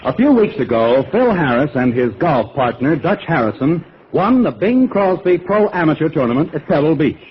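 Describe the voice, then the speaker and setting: A male announcer with a high voice reads a scripted introduction in 1940s radio style. Music plays clearly behind him, and the vintage recording sounds dull. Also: very animated, moderate pace, hardly any pauses. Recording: muffled old radio broadcast, music behind.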